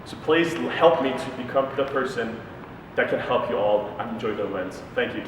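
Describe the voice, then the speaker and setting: An eager young man gives a rapid-fire student election speech, repeating his promises over and over. He is heard from far off in an echoey hall with some background noise. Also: medium pitch. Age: young adult. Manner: eager and repetitive. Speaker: student council candidate. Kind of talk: campaign speech.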